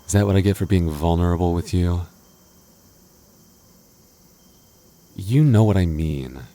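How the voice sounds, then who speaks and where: Man acting very deep-voiced, jealous clingy boyfriend. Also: fairly quick, dark tone, natural voice. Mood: teasing. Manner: stammering, sighing, playfully defensive. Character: jealous, clingy, teasing young boyfriend, playfully sulky. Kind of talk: intimate boyfriend roleplay audio acting.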